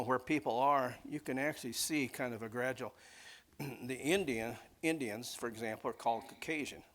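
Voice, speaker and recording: A man teaches like a preacher leading an informal Bible class. His voice sits at an ordinary middle pitch. He rambles along conversationally without a script, regularly checking that listeners follow. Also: a bit bright, a little hiss or hum. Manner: rambling, conversational, often checking in with "okay?", "see" and "right?". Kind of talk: informal teaching sermon, off the cuff.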